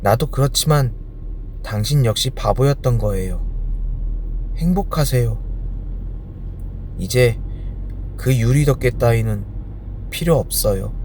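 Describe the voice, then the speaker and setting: A man's voice: mid-range voice, measured pace, pauses often, a little mellow, slight background noise, pitched above the performer's own voice, close to an everyday voice. A man voicing a proud, vain female flower with a haughty, delicate manner that hides tenderness. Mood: proud, wistful.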